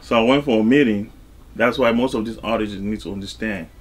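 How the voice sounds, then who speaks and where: A man from Cameroon, talking casually as an interview guest in a halting way, with frequent asides. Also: on the low side. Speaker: interview guest, music artist and label executive.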